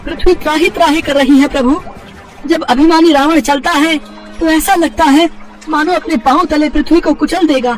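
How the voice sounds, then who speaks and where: A man playing a desperate god who pleads with urgent, shrill declamation in a mythological story dramatization. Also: steady tone, normal speed, distant mic, faint music behind, poor audio. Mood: frantic pleading. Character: desperate god pleading against a demon king's terror.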